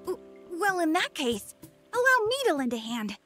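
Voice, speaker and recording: A woman's voice: extremely high pitch, big pitch swings, normal speed, music in the background. A woman voicing an eager, polite little desert creature mechanic in a video game, chirpy and earnest. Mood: earnest, helpful.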